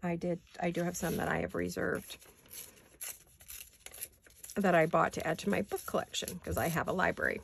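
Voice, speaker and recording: An older woman with a raspy voice chatting casually in her home craft studio, rambling and thinking aloud as she works. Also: lowish voice, steady tone, normal speed, long pauses. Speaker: craft vlogger. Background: neighborhood garbage-day banging.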